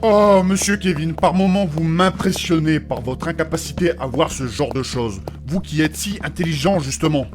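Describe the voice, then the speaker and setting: A man's voice: a little high, moderate pace, hardly any pauses, soft background music, close to an everyday voice. A man playing a courteous, learned mage in a fantasy audio drama, speaking in formal, precise, long-winded sentences. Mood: indignant. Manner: formal, precise, pedantic phrasing with polite address.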